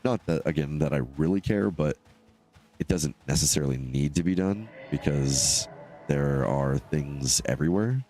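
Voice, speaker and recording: A man with a stuffed-up, nasal voice giving casual, low-key, rambling solo commentary as he live streams a game. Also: expressive, moderate pace, warm tone, faint music behind. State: congested from allergies.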